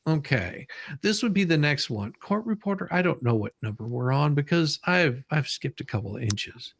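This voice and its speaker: A man's voice: a little high, animated, moderate pace, creaky, no echo. A man hosting a casual livestream with dramatic reading, joking around and repeating his phrases playfully. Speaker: livestream host reading a deposition.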